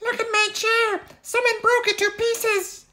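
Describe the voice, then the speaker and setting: A man voicing a small boy bear cub in a shrill, shouting squeak. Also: little pitch movement, a little slow, full character voice. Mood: upset.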